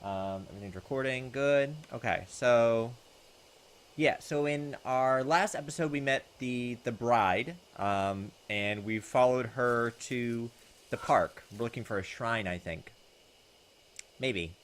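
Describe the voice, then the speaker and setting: A man's voice: mid-range voice, slow. A man hosting a let's play, reading visual novel text aloud and chatting in a hesitant, thinking-aloud way. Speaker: gaming video host. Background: game music.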